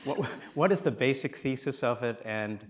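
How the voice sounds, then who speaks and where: A man hosting an on-stage talk, formally introducing a guest and asking measured questions before a live audience. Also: medium pitch, lively intonation. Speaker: interview host and moderator.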